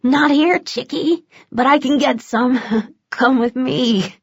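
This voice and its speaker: Woman doing a high giggly vampire man. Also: slow, breathy edge, dry recording, highs cut off, heavily acted. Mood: sly, giggly. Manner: high-pitched giggling, singsong. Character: giggly hyper male vampire crook, sly and cowardly.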